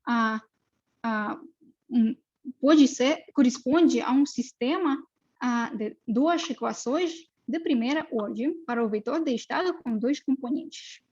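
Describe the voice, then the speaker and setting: High-voiced woman with a Russian accent. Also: darkish tone, breathy edge, lo-fi sound. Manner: hesitant, many fillers. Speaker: postdoctoral researcher. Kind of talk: academic seminar lecture.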